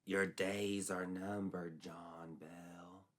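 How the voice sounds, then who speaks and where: A man's voice: sits low, little pitch movement, very slow. A man voicing a vengeful, taunting witch spirit in a storybook tale, speaking in ominous threats. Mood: whispered menace.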